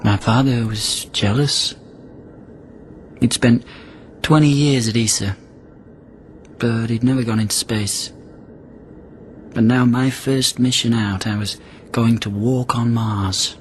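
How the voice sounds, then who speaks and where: An actor playing an angry, desperate astronaut commander held in quarantine, sarcastic and emotional, in a science fiction radio drama. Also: male voice, medium pitch, steady tone, slow, long pauses, slight background noise, lightly acted. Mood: brooding, hurt.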